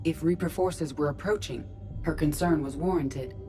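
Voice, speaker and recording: A woman voicing a calm ship artificial intelligence in a video game, speaking in a measured, formal, analytical way. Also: low-pitched, over music, close to an everyday voice. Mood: measured, matter-of-fact.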